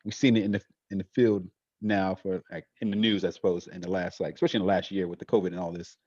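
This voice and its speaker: A man co-hosting a casual podcast chat, hesitant with many fillers and quick to laugh. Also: low-pitched, little pitch movement, a little mellow, no room sound, dull audio. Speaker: podcast co-host.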